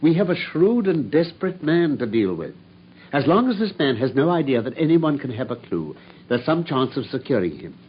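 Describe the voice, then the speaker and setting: An actor playing a brilliant, self-assured male detective in a radio drama, speaking in a crisp, measured way. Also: medium pitch, very animated, natural voice. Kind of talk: 1950s radio drama acting. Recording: dull old radio broadcast with faint hiss.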